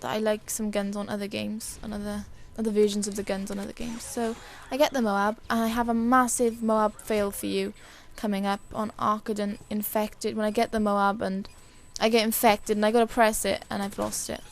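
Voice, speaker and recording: A woman with a dry, hoarse voice giving casual, chatty and rambling commentary over a video game. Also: medium pitch, flat delivery, moderate pace, hardly any pauses. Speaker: gaming video creator.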